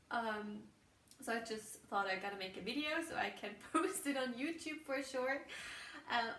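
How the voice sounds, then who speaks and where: A woman's voice: a little high, a bit bright, a little hiss or hum. Woman, German accent, native German speaker, reflective podcast monologue, searching for words, distant phone recording, roomy echo. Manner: musing, searching for words, frequent restarts. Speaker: podcast host. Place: outdoors while walking. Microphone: phone voice memo held away. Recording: roomy, distant phone memo with faint ambience.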